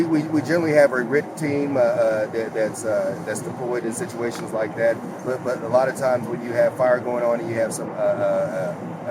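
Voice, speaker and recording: Fast-talking man, stammering, over loud engine noise. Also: medium pitch. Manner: halting, repeats words and phrases often. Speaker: fire department spokesman and deputy chief. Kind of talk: press briefing answering reporters' questions. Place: outdoors at a fire scene.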